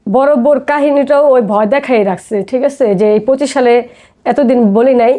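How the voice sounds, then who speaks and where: High, fast-talking Bangladeshi woman, mellow tone. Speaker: podcast guest telling her life story. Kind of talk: personal storytelling in casual talk.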